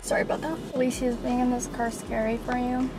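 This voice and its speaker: A woman's voice: a little high, monotone, quick pace, heavy background noise. A teenage girl from Utah chatting casually for a vlog, nervous and giggly, filmed on a phone camera. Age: teens. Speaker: vlogger. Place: indoors at home, then inside a car. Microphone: phone camera at arm's length, then dashboard camera.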